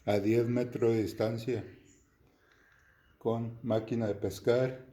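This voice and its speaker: Slow, mellow-voiced man in his fifties. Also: mid-range voice, little pitch movement. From Texas. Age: 50s. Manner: plain, unhurried explaining with pauses and restarts. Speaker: slingshot shooter. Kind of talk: casual demonstration to camera.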